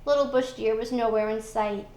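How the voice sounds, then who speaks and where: A woman reading a children's storybook aloud in expressive narration. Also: on the high side, normal speed, reverberant. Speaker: school storybook reader.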